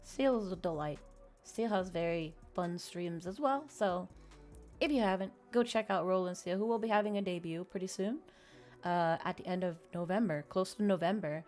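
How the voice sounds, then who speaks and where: A woman's voice: lowish voice, normal speed, darkish tone. A woman live streamer chatting casually and informally to her viewers in a friendly way. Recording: music playing behind the voice. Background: background music.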